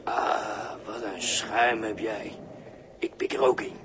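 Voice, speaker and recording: A sly, mocking bystander in a 1960s radio play, speaking low and unhurried. He is patient and condescending with a quiet menace, on an old recording with faint noise. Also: fairly even intonation. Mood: smug, teasing. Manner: deliberate, condescending, colloquial. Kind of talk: 1960s Dutch radio drama acting.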